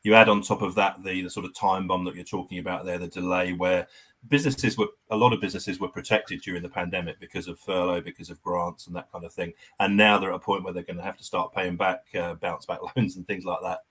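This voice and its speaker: A man with a very deep, husky voice speaks in a flat, even tone. He joins a video call as a guest and lays out his points in a measured, orderly way. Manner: measured, explains in structured points. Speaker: guest consultant. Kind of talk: conversational discussion.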